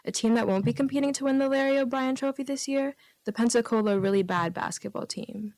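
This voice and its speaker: A woman's voice: a little high, fast talker, darkish tone, no echo. A woman guesting on a comedy radio show, reading out written jokes with casual asides.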